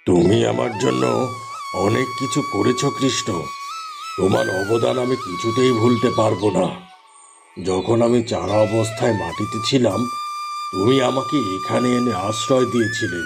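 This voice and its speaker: A man plays a kindly enchanted palm tree from a fairy tale cartoon. The talk is solemn and reassuring, with a very lively rise and fall. Music plays clearly underneath. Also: medium pitch, moderate pace. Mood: grateful, solemn.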